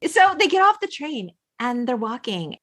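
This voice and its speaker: A high-pitched woman hosts a casual podcast chat. She retells film scenes with enthusiasm and emphasis. She slips into quoted dialogue as she goes, in a conversational way. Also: big pitch swings, normal speed, a bit bright. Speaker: podcast host.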